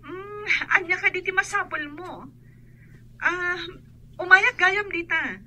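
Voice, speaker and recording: An actress plays a woman friend taking a call in an Ilocano radio drama. Her voice is high and extremely bright, and she talks slowly. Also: expressive, full character voice. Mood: casual, agreeable. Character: unhurried woman friend living away, answering a call.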